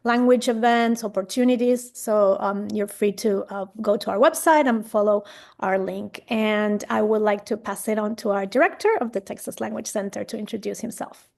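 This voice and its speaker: A woman's voice: medium pitch, fairly even intonation, normal speed, vocal fry, a little mellow. A woman program coordinator giving a brief, friendly, informal introduction on a video call.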